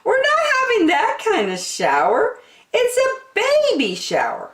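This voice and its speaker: A woman voicing a gentle, reassuring storybook mother who explains things soothingly. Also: very high-pitched, wildly swooping pitch, a little slow, roomy sound, voice pushed up, full character voice. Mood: explaining.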